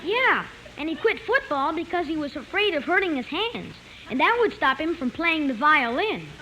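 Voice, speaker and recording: A woman's voice: high-pitched, sing-song, moderate pace. A woman playing a bossy, self-important young boy who runs a club, in 1950s radio comedy style. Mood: earnest. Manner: officious, pompous. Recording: noisy clicking old 1950s radio recording.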